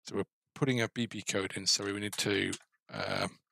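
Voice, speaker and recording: A man's voice: lowish voice, huge pitch swings, normal speed, vocal fry, fairly bright tone, a little hiss or hum. A middle-aged man giving casual, mildly grumbling, self-deprecating commentary as he codes a game, on a headset mic. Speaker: game developer.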